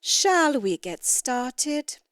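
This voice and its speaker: A woman voicing a cool, imperious young queen of ice in a storybook, measured and superior. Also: high-pitched, very animated, a bit bright, smooth, no room sound, studio quality, full character voice. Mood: composed.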